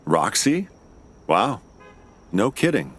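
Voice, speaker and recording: An actor playing a laid-back, wry American lawyer and amateur sleuth in an audio drama, talking in a casual, understated way. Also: male voice, lowish voice, very animated, slow, fairly bright tone, breathy edge, heavily acted. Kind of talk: audio drama dialogue and narration.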